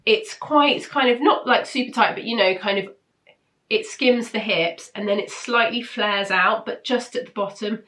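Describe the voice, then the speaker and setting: Nasal British woman, bright and chatty. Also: fairly high pitch, moderate pace. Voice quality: nasal, congested. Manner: chatty, informal, enthusiastic. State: ill with flu, blocked nose. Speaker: host of a weekly sewing pattern roundup. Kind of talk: casual chat review to camera.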